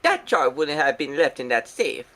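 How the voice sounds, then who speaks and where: A man voicing a suave, cutting young German prosecutor, smooth and condescending, in amateur video game voice acting. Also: on the high side, huge pitch swings, moderate pace. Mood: smug, reasoning. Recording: loud music behind the voice. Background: video game music.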